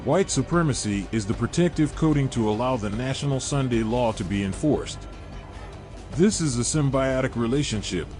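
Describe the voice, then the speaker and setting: A man's voice: medium pitch, a little mellow. A man delivering scripted religious narration in a preachy, denunciatory manner, often posing rhetorical questions.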